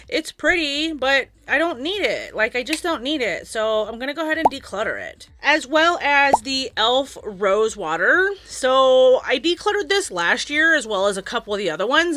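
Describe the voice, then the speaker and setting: A beauty vlogger chatting casually to camera, a woman whose voice is raspy from recently losing it to a virus. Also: on the high side, bright, forceful tone. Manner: chatty, fond, weighing up each item aloud. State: raspy, recovering from losing her voice.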